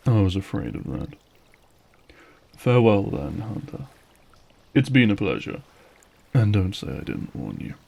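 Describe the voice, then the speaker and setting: A man's voice: on the low side, very animated, vocal fry, darkish tone, heavily acted. A man playing a centuries-old vampire in an audio drama, weary, bitter and politely menacing, with a brooding, formal way of speaking. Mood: cold menace. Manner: slow, brooding, formal speech.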